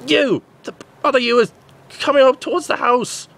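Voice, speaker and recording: A man voicing an excitable, flustered pony doctor who stammers and exclaims in a story. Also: extremely high pitch, moderate pace, creaky, voice pushed up, full character voice. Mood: urgent.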